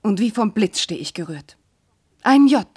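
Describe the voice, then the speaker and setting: A woman's voice: mid-range voice, lively intonation, normal speed, warm tone, slightly rough, no echo, clean studio sound. A woman playing a young, noble princess, anguished and bewildered, in solemn, fervent German classical verse radio drama. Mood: rapt, then horrified.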